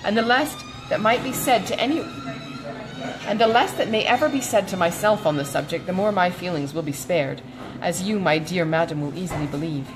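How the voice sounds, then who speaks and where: A woman voicing a sensible, reserved young gentlewoman in a storybook, speaking in a measured, polite and formal way. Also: fairly low pitch, pitched below the performer's own voice, natural voice, poor audio. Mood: grave, restrained.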